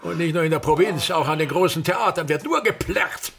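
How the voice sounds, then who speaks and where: Creaky, pompous old stage tyrant. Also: male voice, a little high, fairly quick, noisy background. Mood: indignant ranting. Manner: declamatory, pompous, ranting and commanding. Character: tyrannical, vain old touring actor and family patriarch. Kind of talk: theatrical radio drama monologue.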